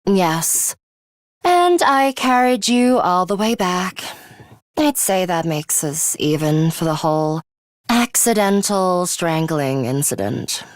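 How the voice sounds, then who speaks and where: A woman playing a serious, composed young female fighter in a video game, dryly scolding and sharp-tongued but caring. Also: on the low side, expressive, no room sound. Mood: exasperated. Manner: measured, stern, dryly scolding.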